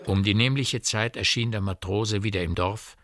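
Low-voiced man narrating a radio play. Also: steady tone, moderate pace, a bit bright.

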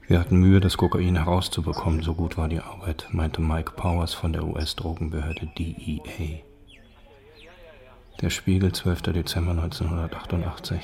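A very low-voiced man reads documentary narration. His delivery is sober and factual, with a flat, level intonation. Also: moderate pace, creaky. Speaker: narrator reading documents and facts.

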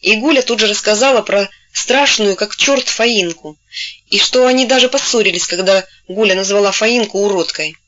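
Bright-voiced woman reading a novel aloud. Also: mid-range voice, moderate pace, dull audio. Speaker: audiobook narrator. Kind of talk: scripted literary narration.

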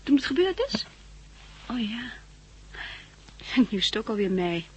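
An actress playing an affectionate, curious, gently sceptical young wife in a 1960s radio drama. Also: female voice, fairly high pitch, sing-song, unhurried, husky, full character voice, rough recording. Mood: teasing, curious. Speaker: radio play actor.

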